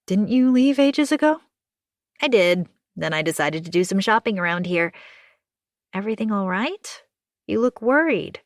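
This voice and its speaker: A woman's voice: mid-range voice, expressive, normal speed, dry recording, studio quality, lightly acted. A woman reading aloud and voicing a friendly, helpful woman acquaintance who talks in an upbeat, soothing way. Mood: cheerful surprise.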